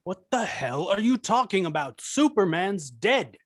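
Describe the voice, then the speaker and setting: Guy doing angry rich bad guy, highish voice, swingy pitch, blustery, table read. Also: big pitch swings, unhurried, exaggerated voice. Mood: irate. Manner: irritated, blustering. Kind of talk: amateur table read of a film script.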